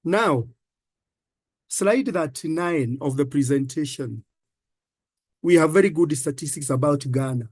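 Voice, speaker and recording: A man with a Kenyan accent, a finance professor giving halting comments on a panel with many fillers and repeated words. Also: a little high, darkish tone, dry recording.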